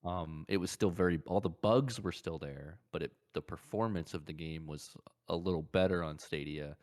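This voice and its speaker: A man's voice: sits low, moderate pace, a little mellow. A man hosting a podcast, chatting casually about video games and often circling back to repeat his phrases. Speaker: podcast host.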